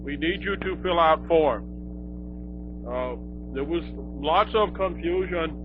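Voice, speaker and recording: A man playing a flustered government official who makes a halting, repetitive, awkward mock emergency announcement. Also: fairly high pitch. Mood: flustered, reassuring. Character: flustered male government official calling off a zombie alert. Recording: dull lo-fi audio, loud music.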